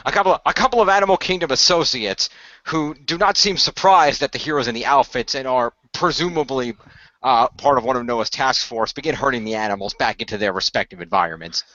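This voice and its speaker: A man's voice: medium pitch, brisk, sharp tone, no room sound, dull audio. A man improvising present-tense narration for an amateur radio play, often stumbling and repeating phrases.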